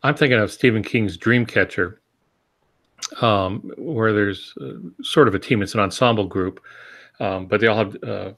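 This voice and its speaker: A man with a fairly low voice talks as a co-host in a casual panel discussion. He explains through examples, feeling his way forward in a halting, measured manner. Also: creaky, a bit bright. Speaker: podcast co-host.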